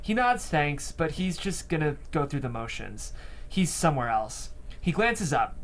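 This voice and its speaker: A man's voice: on the high side, very animated, measured pace, faint music behind. A man reading scene headings and stage directions as narrator of a script table read.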